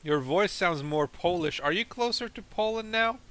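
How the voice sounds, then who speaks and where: A man's voice: high voice, animated, moderate pace, a bit bright, smooth. A young man chatting casually and teasing his friend while explaining their factory in a game. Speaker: co-host playing a factory game. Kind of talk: casual chat over gameplay.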